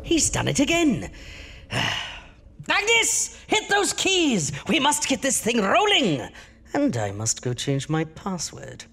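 An actor playing an easily riled, ghoulish horror host with a campy, theatrical manner. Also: male voice, very high voice, huge pitch swings, slow, vocal fry, bright, forceful tone, a little hiss or hum, exaggerated voice. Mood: exasperated. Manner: theatrical, exasperated.

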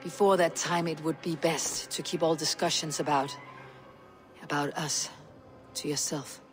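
A steadfast Viking warrior woman. Her delivery is measured, guarded and sincere, in dramatic game style, with music behind her. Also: deep voice, fairly even intonation. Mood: guarded, tender. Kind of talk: dramatic video game acting.

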